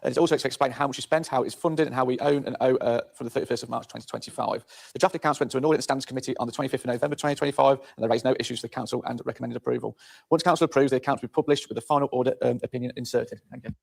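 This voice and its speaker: A man presenting council accounts, halting with many fillers, his voice going hoarse. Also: mid-range voice, steady tone, darkish tone. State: losing his voice.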